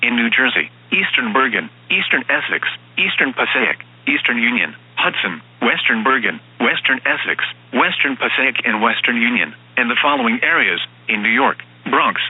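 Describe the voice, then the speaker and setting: A synthetic man's voice reading formal emergency weather bulletins as an automated announcer, in a flat, stilted manner, with lo-fi radio sound. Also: medium pitch, normal speed, few pauses, slightly rough. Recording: dull, band-limited weather radio broadcast sound.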